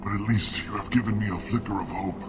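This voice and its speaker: An actor playing a bitter, plague-stricken alien in a video game, sick and weak, with grave, formal phrasing. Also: male voice, lowish voice, steady tone, fast talker, music in the background, lightly acted. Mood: fading, fearful. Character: dying plague-stricken male alien, bitter, suspicious of humans. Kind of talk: video game dialogue acting.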